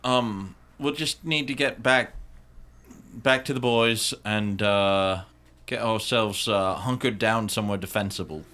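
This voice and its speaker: A man with a lowish voice speaks as a player in a tabletop game. His improvised talk is slow, halting and deliberate. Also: sharp tone.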